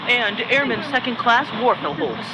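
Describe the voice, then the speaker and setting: Actress as a high, perky airwoman. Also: expressive, moderate pace, lightly acted. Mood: chipper. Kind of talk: comedic radio drama acting. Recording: noisy aircraft radio.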